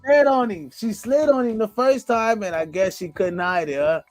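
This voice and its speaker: A man's voice: high-pitched, faint music behind. A man co-hosting a podcast, joking and reacting in casual banter. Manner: lively, quick to laugh and joke. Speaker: podcast co-host.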